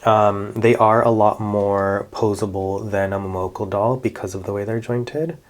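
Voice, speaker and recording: A man, chatting casually in a relaxed vlog about his doll collection, with many fillers and self-corrections. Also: lowish voice, normal speed. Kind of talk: casual show-and-tell vlog.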